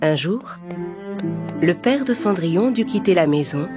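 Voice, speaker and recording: Low-voiced woman storyteller over music. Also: expressive, unhurried. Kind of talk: scripted bedtime fairy-tale narration for children.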